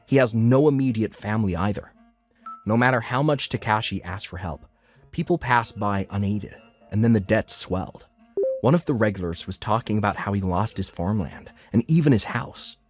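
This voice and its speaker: A man's voice: fairly low pitch, normal speed, natural voice. A man acting as an earnest diner owner, narrating his life story in a scripted manga dub. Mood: matter-of-fact. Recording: background music under the voice.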